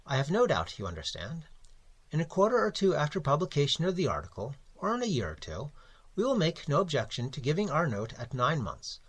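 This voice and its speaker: A man with a husky voice voicing an elderly, evasive magazine editor who talks blandly and fussily, with sudden loud swells. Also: fairly high pitch, full character voice.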